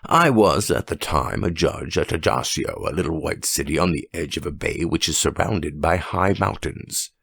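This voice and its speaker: A man voicing a dignified, rational judge telling a story in a grave, measured and formal manner for an audiobook. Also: a bass voice, lively intonation, vocal fry, a bit bright, slightly rough, no room sound, clean studio sound, exaggerated voice. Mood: grave, emphatic. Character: dignified, weighty, rational male French judge.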